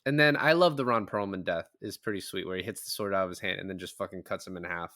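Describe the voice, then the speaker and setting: Bright-voiced man in rambling casual chat. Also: low voice, fast talker. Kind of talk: casual movie discussion podcast chat.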